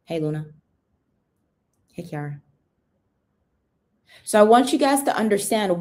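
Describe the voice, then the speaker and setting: A woman's voice: medium pitch, a little mellow. A young woman with an American accent, raised in the UK, hosting a casual sales livestream, chatting, greeting viewers and often asking "right?". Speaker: livestream host.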